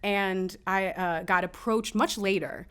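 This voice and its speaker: An animated woman guest with a bright, forceful voice at a middle pitch. She tells stories quickly and slangily, leaning into every line. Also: smooth. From United States. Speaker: actress guest. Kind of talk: casual interview chat.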